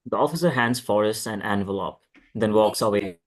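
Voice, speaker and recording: Lowish-voiced man reading stage directions. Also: moderate pace. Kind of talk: scripted narration at a table read.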